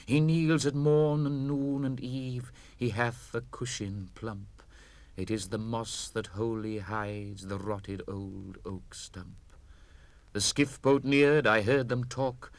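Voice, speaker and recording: An actor with a British accent playing a haunted old mariner, reciting verse with measured intensity. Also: male voice, on the low side, no room sound. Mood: awestruck. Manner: intense, measured, swinging from hushed to impassioned. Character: haunted old mariner, fearful and awestruck, recounting his voyage. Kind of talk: dramatic poetry recitation, 1960s spoken-word record.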